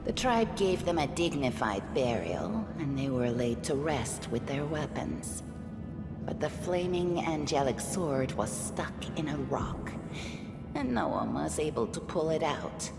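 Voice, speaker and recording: An actress playing a stern, proud and fatalistic tribeswoman in a video game, solemn and measured. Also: low voice, big pitch swings, vocal fry, full character voice. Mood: grave. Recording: echoey hall reverb. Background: background music.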